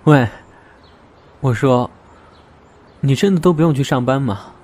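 A man's voice: medium pitch, a little slow, a little mellow, close to an everyday voice. A man acting in an audio drama as a weary, conflicted young man, restrained and introspective. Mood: distant. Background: background music.

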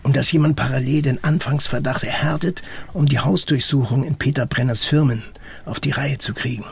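An actor playing a guarded middle-aged investigator in an audio drama, explaining things in a terse, matter-of-fact way. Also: male voice, medium pitch, steady tone, a little fast, creaky, breathy edge. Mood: measured, guarded. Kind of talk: German audio drama dialogue.

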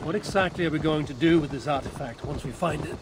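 An actor playing a proud, skeptical Viking clan leader in a video game, speaking in a sardonic, curt and dismissive manner. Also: male voice, on the high side, fast, over music, lightly acted. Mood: impatient. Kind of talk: video game cutscene acting.

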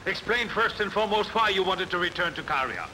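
Guy doing a sly accusing courtier in an old sandal epic, really lively intonation, lots of noise behind. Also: sits high, brisk, heavily acted. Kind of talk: theatrical film dubbing. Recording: noisy old film soundtrack.

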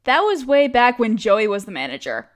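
A woman playing a cute, chatty young barista in an audio roleplay, expressive and girlish, playfully teasing a coworker friend. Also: fairly high pitch, moderate pace. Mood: nostalgic. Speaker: voice actress. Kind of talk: scripted one-sided audio roleplay, casual chat.

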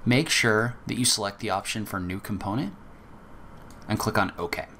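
Man, very low voice, methodical tutorial explainer, faint typing behind. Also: moderate pace, pushed and bright, a little hiss or hum. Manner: methodical step-by-step explaining, casual fillers. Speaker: software tutorial instructor. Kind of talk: screen-recorded software walkthrough.

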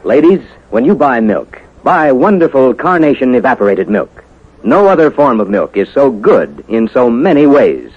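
American male announcer, old-time sponsored radio, lively intonation, dull vintage sound over music. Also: medium pitch, moderate pace. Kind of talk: 1950s sponsor announcing and scripted narration. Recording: muffled 1950s radio recording.

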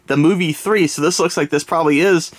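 A man's voice: a little high, brisk. A man hosting a toy unboxing video, talking casually to viewers while sniffly from a summer cold, rambling with many fillers and restarts. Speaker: toy channel host. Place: indoors at a table.

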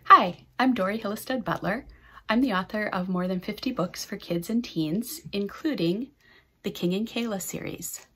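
A woman's voice: mid-range voice, moderate pace, sharp tone, breathy edge. A woman in her fifties, a children's author, casually presenting trivia to kids from a home office.

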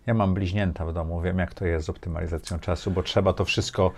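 Low-voiced man hosting a casual interview. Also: fairly even intonation, fast talker, creaky. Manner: short, informal interjections. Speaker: interview host. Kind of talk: casual chat. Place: small furnished indoor studio.

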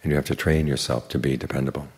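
Older man, monk, very low husky gravelly voice, unhurried dharma talk, dry humor. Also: steady tone. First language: English. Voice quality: very deep gravelly voice.

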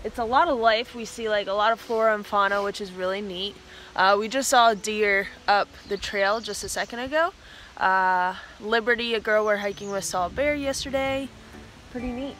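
A woman's voice: mid-range voice, moderate pace, a bit bright, a little hiss or hum. A woman in her twenties chatting casually into a phone camera at arm's length while out hiking, upbeat and filler-heavy. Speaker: thru-hiker vlogger. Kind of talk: casual trail vlog. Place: outdoors by a road in green woods. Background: background music.

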